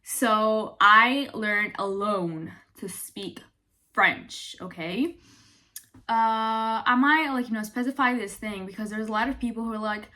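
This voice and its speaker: Bright-voiced young woman. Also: a little high, a little slow. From Italy. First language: Italian. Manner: chatty and animated, full of "like" and "you know". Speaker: vlogger. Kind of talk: casual talk to camera.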